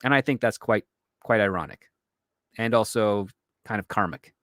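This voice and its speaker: A man's voice: lowish voice, moderate pace, fairly bright tone, no echo, clean studio sound. A man speaking as a panel guest, giving emphatic, opinionated commentary in a conversational way.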